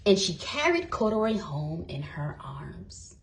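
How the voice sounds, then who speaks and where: A woman reading a storybook aloud for toddlers with expressive, dramatic and deliberate emphasis. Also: lowish voice. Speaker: storybook reader.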